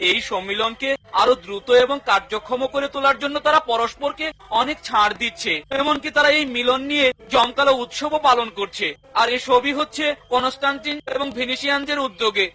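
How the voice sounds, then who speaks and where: An earnest envoy in an animated series pleads for his oppressed people. His voice sits very high and bright, and the delivery is urgent. The animated dub style holds throughout. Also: a little fast, low-quality recording. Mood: pleading. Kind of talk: cartoon dubbing.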